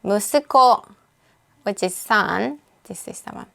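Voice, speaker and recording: A Japanese-accented woman talks through a language app lesson in a relaxed, unscripted way. Her voice is smooth and clean at a middle pitch. She pauses with frequent fillers and corrects herself as she goes. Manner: thinks aloud with frequent uh and self-corrections. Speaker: language tutor. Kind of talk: casual screen-recorded app walkthrough.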